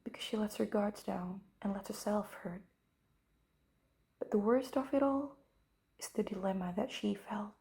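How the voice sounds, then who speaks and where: A woman reciting a poem in a deliberate reading style. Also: medium pitch, mellow. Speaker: poetry reader. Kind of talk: slow poetry recitation.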